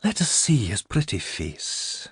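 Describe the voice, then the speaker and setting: A gentle but firm lady, voiced by a male audiobook narrator, soothing and sad. The voice is hushed and husky, rising and falling expressively in a close, dry recording. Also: mid-range voice, huge pitch swings, slow, no echo, exaggerated voice.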